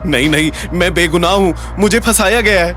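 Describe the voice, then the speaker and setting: A man's voice: high voice, fairly quick. An actor playing a desperate framed man in an audio drama, frantically pleading and protesting innocence. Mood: panicked. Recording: loud music under the voice.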